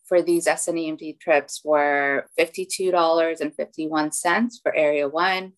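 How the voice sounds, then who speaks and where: A woman from community services giving a report on a video call, reading numbers steadily and filling pauses with "um". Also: sits low, low-quality recording. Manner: steady, filler-laden figure reading.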